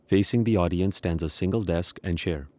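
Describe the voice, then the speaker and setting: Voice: low-pitched, normal speed, darkish tone, a little hiss or hum. A narrator reading scripted stage directions for an audio play.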